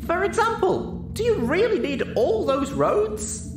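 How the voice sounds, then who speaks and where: A high, swooping, brash pegasus mare, played by a woman, argues with indignation. She sounds roomy and away from the mic, with music behind her. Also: big pitch swings, measured pace, exaggerated voice. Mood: indignant. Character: brash, indignant female blue pegasus pony. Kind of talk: audiobook character dialogue. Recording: roomy, distant microphone.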